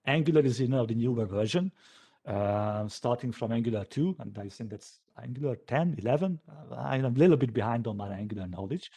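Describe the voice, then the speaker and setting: A man, a developer informally answering questions after a talk in a hesitant, halting way, as if thinking aloud. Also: medium pitch, animated, gravelly, dark tone, husky. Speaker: software developer giving a conference talk.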